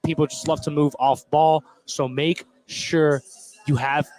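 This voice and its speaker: Male color analyst, a bit high in pitch, informal and conversational courtside basketball commentary. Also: flat delivery, measured pace, darkish tone, no room sound, low-quality recording. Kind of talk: casual live basketball analysis. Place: courtside in a school gym.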